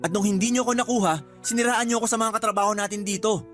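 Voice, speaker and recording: Earnest young filipino man defending himself, fast talker, radio drama, soft music under. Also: high-pitched, steady tone. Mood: firm, aggrieved. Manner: earnest, polite but firm. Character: earnest young male employee, loyal boyfriend, defending himself.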